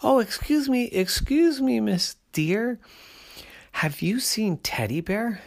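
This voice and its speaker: Storybook bear cub, small, sweet and polite, bouncing way up high, a man doing the voice, slow and gravelly underneath. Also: full character voice. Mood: eager. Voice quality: high, excitable. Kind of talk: bedtime storytelling.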